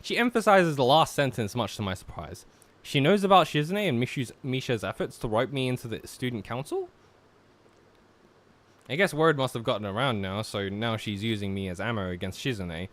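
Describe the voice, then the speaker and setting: A man's voice: medium pitch, expressive, brisk. A man casually joking and reading visual novel narration aloud as he streams a game. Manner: jokey, teasing.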